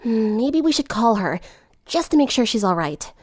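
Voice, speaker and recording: Woman acting shy bookish teen girl, cartoon story, hesitant, earnest, high pitch. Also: big pitch swings, normal speed. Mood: earnest concern.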